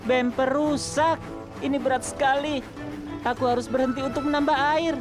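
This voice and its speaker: A woman's voice: high-pitched, fairly even intonation, moderate pace, music in the background, lightly acted. A woman voicing an earnest, hardworking young male steam engine in a children's cartoon dub, eager, determined and polite. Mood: strained.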